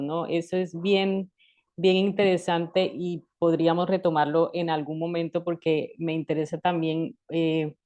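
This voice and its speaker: A woman in her thirties from Colombia, a guest in an interview, talking in a reflective way with restarts and soft laughs. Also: on the low side. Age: 30s. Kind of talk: conversational interview.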